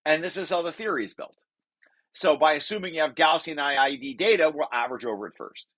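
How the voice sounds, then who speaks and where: A man's voice: on the high side, fast talker, fairly bright tone, dry recording. An older man giving an informal technical lecture, reading through a paper and explaining it, often rephrasing as he goes. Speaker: researcher presenting his paper.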